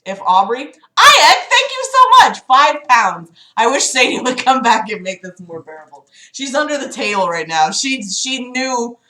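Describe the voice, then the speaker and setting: A woman's voice: fairly high pitch, big pitch swings, a little slow, hardly any pauses, bright, forceful tone, roomy sound, poor audio. A woman hosting a livestream, reading a book aloud mockingly with sarcastic, exasperated asides and casual swearing. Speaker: livestream host. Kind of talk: mocking read-aloud of a book with casual commentary to live chat.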